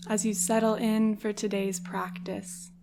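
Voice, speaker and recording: A woman leading a guided meditation as a yoga nidra guide, speaking softly and soothingly without hurry, with breathy phrase endings. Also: medium pitch, level tone, measured pace, background noise.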